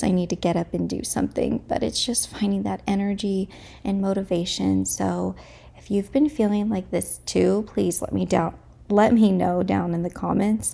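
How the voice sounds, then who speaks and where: Woman, 30s, home vlogger. Casual, open chat to camera. Slightly stuffed up, with a rough, creaky edge to the voice. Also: medium pitch, warm tone. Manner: candid and chatty, thinking aloud.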